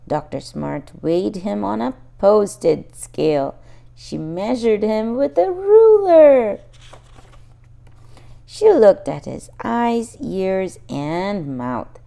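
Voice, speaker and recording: A woman reads a picture book to small children in a slightly high, mellow voice with some gravelly creak. She is expressive and speaks straight to her young listeners, as a storybook host would.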